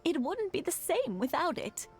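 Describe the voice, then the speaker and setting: An actress playing a warm elderly wife in a video game, gently teasing and motherly. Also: very high voice, big pitch swings, moderate pace. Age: older. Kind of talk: game voice acting. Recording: game dialogue with music behind.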